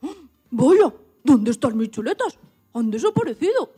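Lady doing a little piglet for a kids radio story, fretful n polite, soft mellow voice, bit of music under. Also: high-pitched, big pitch swings, normal speed, breathy edge, exaggerated voice. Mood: alarmed. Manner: exclamatory, muttering questions to self. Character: small anxious, polite young piglet searching for lost chops. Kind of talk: radio play for children.

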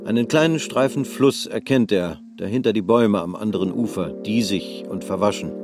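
Narrator, male, low, measured literary read, dry booth, faint music. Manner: measured, even storytelling.

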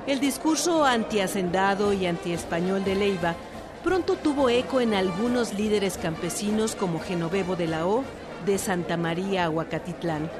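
A woman's voice: medium pitch, moderate pace, over music, crowd noise. A woman with a Mexican accent giving scripted historical narration in a radio drama.

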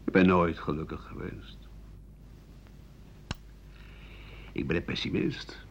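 A man playing a weary, embittered writer of 67 in a radio play, grumbling in halting phrases that trail into breathy murmurs. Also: low-pitched. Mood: bitter. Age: older. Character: embittered, weary 67-year-old French writer and doctor, pessimistic. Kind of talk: radio play monologue as interview answers. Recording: dull, hissy 1980 radio recording.

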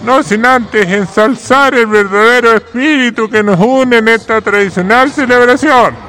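Man playing a high-pitched, pompous town ceremony announcer. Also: a little slow, sharp tone, faint music behind, exaggerated voice. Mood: solemn, proud. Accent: Chilean. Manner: pompous, wordy, declamatory. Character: pompous, formal 1950s small-town master of ceremonies. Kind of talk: ceremonial speech in a radio drama.

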